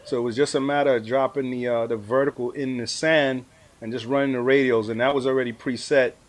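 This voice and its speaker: A man's voice: mid-range voice, steady tone, brisk. Mature man, laid-back colloquial talker. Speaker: amateur radio operator, award-winning guest. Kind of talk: casual storytelling in an interview. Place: live video stream.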